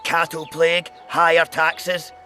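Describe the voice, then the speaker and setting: A sharp, fairly high male voice for a bitter townsman in a fantasy game. He is sarcastic and declamatory. A little music is behind him. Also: completely flat delivery, measured pace. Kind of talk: video game voice acting.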